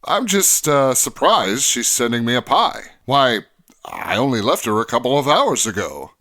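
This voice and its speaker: A man's voice: medium pitch, very animated, normal speed, bright, forceful tone. A man playing a kindly, upright Mountie sergeant, earnest and courteous in an old-fashioned way, in radio adventure style.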